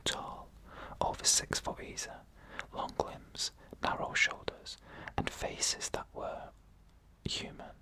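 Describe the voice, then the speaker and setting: A narrator telling a scary story in a breathy, unvoiced ASMR whisper, in short phrases with frequent pauses. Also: sharp tone.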